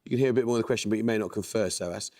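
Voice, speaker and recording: A man hosting a quiz show, reading questions and introducing teams in a measured, precise way. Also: on the low side, warm tone. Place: TV studio.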